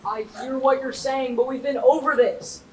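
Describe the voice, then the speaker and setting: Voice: high voice, steady tone, normal speed, over loud music, lightly acted. A teenage student playing a firm, dismissive heist planner in an amateur stage comedy. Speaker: student actor. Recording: echoey stage recording from far off.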